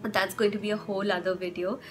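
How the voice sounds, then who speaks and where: A young woman vlogging with chatty parenting advice, her voice hoarse from a cold, often stopping to rephrase with fillers. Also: medium pitch, flat delivery, quick pace, music in the background. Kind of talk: casual advice vlog.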